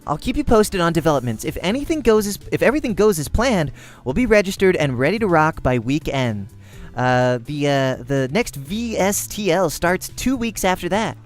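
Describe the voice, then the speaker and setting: A man's voice: a little high, sing-song, moderate pace, faint music behind, voice pushed up. Man voicing a bossy, ambitious young woman gamer. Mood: brisk, bossy. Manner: curt, decisive, businesslike. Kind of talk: amateur visual novel character acting.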